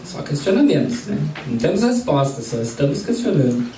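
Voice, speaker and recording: Man, group lesson leader, conversational, mellow voice, roomy distant recording. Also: fairly high pitch, very animated, brisk. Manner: conversational, asks the audience questions. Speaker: spiritist study group leader. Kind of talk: informal group lesson with questions to audience. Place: study centre meeting room. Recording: roomy, distant mic, faint background noise.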